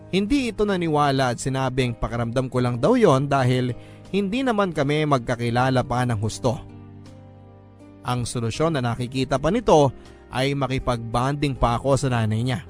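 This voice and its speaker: A man with a Filipino accent reading a letter as dramatic storytelling narration. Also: mid-range voice. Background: background music.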